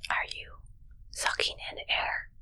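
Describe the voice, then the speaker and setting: A woman voicing an awkward alien posing as a medic in a whispered ASMR roleplay, with halting, broken speech and a puzzled manner. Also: sharp tone. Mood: curious, fumbling.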